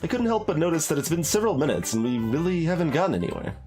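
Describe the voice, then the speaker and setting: Male streamer, casual and expressive, reading aloud with animated, swinging intonation over music. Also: on the high side, racing. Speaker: game streamer. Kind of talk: live playthrough commentary, reading game text aloud.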